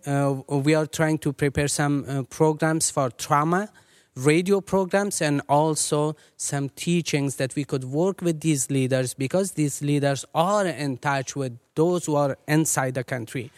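A man from Afghanistan who leads a ministry, speaking earnestly and measuredly as an interview guest with many pauses and fillers. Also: fairly high pitch, no echo, studio quality. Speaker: ministry leader.

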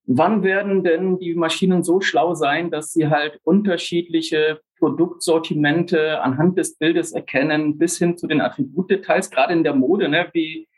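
Man, forties, slightly high voice, husky, relaxed chatty guest, lots of fillers. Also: moderate pace, few pauses, poor audio. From grew up in Brazil. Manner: relaxed, chatty, many fillers, self-deprecating humor. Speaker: startup founder, podcast guest. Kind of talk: casual interview talk.

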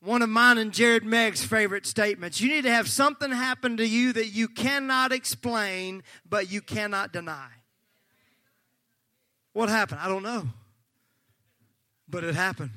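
A male pastor preaching a sermon, fervent and folksy, joking with the congregation and breaking into shouts. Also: high voice, normal speed, long pauses, no room sound, clean studio sound. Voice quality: slightly gravelly.

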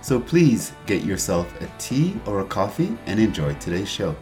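A man's voice: on the low side, sing-song, moderate pace, over loud music. A man hosting a travel podcast, introducing the show and interviewing his guest.

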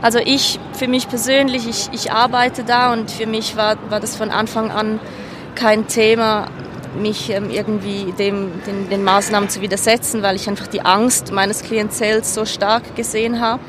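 A woman's voice: mid-range voice, little pitch movement, moderate pace. A woman giving thoughtful, hesitant answers in a spontaneous street interview. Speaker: street interviewee, care worker. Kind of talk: spontaneous reflective interview talk. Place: outdoors on an urban street. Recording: clear urban street and traffic noise.

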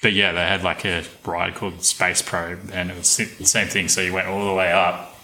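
A man's voice: low-pitched, moderate pace, slight background noise, poor audio. A man co-hosting a podcast, chatting casually with many fillers and hesitations. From Australia. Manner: relaxed, hesitant with fillers, laughs often. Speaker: podcast co-host. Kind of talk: casual chat.

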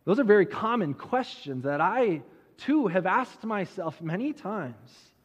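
A man preaching a sermon, telling a Bible story conversationally with dramatic pauses and rhetorical questions. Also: sits high, very animated, husky. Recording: muffled audio with long hall reverb.

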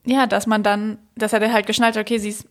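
A woman in her twenties co-hosting a casual chat, chatty and quick to laugh, dropping English words into her talk. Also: medium pitch, level tone. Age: 20s. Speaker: podcast co-host.

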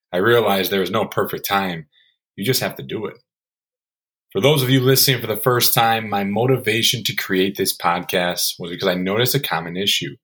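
A man hosting an educational podcast, speaking in a friendly, measured, scripted way. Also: on the low side, fairly bright tone. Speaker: podcast host.

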